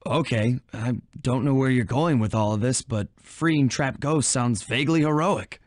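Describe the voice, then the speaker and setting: An actor playing a suave, wry young raccoon thief in a video game, casual and confident. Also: male voice, mid-range voice, normal speed, no echo, clean studio sound, lightly acted.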